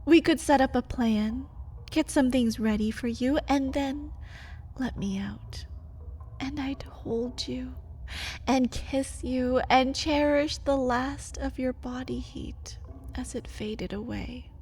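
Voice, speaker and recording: Caged vampire lover , played by a woman: unhurried, husky-breathy, intimate ASMR delivery, pleading with a bitter edge. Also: high-pitched, darkish tone, exaggerated voice. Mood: wistful, coaxing. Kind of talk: ASMR roleplay.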